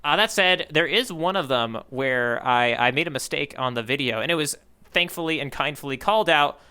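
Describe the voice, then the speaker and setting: A man's voice: fairly high pitch, animated, moderate pace, sharp tone, smooth. A man hosting a podcast in casual, rambling, jokey chat, often correcting himself as he goes. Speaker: podcast host. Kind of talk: casual podcast chat, reading listener letters.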